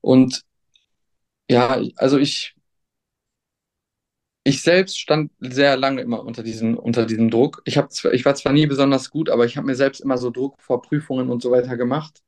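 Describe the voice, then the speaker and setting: A young man speaks in an unhurried, thoughtful way, often pausing and restarting as he works out what he means. His voice sits at an ordinary middle pitch for a man, casual and open in an interview setting. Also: steady tone, darkish tone. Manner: hesitant, many fillers and restarts, thoughtful. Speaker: substitute teacher, guest.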